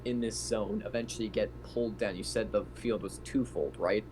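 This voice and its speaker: A man's voice: lowish voice, fairly even intonation, moderate pace. A man taking part in a tabletop game as a player, speaking in a casual, questioning way. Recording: clearly noisy background.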